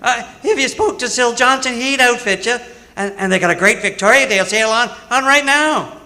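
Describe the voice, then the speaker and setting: A man's voice: very high-pitched, a little fast, bright, forceful tone, heavily acted. A man playing an eager schoolboy who stutters over his first words in an old-time radio commercial skit. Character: eager, stammering schoolboy pal pitching a sale.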